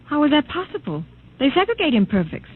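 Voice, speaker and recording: An actress playing a reserved, clinical geneticist professor in a mid-century radio drama, speaking in a formal, clipped way. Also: female voice, sits high, sing-song, fast talker. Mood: cool, guarded. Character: reserved female geneticist professor in a regimented future. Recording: tinny, noisy old radio recording.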